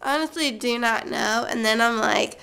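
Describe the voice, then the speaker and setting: Chatty young woman with a creaky, bright voice. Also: a little high, moderate pace, low-quality recording. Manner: chatty, goes off on tangents, many fillers. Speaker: vlogger. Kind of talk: rambling casual monologue to camera. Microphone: camera mic.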